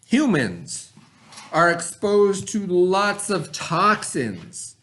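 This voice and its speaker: A man's voice: sits high, very animated, extremely slow, fairly bright tone. A man teaching young children in an instructive way, explaining words step by step and checking in as he goes. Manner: instructive, spells and sounds out words, checks with "right?". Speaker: elementary school teacher. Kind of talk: read-aloud lesson for young children, explaining vocabulary.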